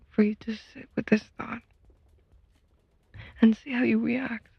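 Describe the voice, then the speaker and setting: Breathy, whispering woman guiding meditation. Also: a little high, dry recording, muffled recording. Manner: gentle, soothing, unhurried with long pauses. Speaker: guided meditation host. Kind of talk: soft whispered guided meditation.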